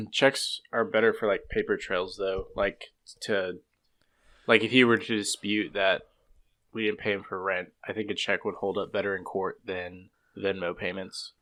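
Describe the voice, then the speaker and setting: Lower-voiced young man, hesitant chat. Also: unhurried, fairly bright tone. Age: 20s. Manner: hedging, lots of likes, trailing off. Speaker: podcast co-host. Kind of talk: casual chat.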